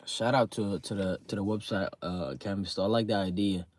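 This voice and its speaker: A man's voice: lowish voice, fairly even intonation, fairly quick, slight background noise. A man streaming live, chatting casually with viewers about a game league, rambling with lots of fillers and repeated words, his throat sore. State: sore throat, voice getting low.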